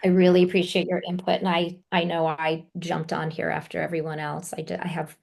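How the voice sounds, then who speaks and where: A woman's voice: fairly low pitch, level tone, fairly quick, creaky, a little mellow. A woman voice actor asking earnest, polite questions on a video call.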